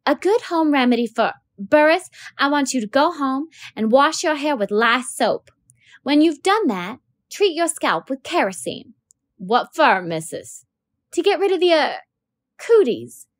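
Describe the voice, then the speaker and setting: Voice a flustered young teacher, woman reader, high, shaky, stammering, pitch swooping. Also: sing-song, unhurried, no echo. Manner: nervous, stammering, high and breathless. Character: flustered young female schoolteacher.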